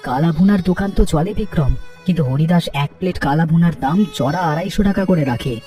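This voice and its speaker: A man's voice: on the high side, quick pace, dark tone, far from the mic, soft background music, close to an everyday voice. A man voicing a worried, poor village rickshaw puller with a plaintive manner in a cartoon. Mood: worried, downcast. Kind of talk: Bengali cartoon dialogue.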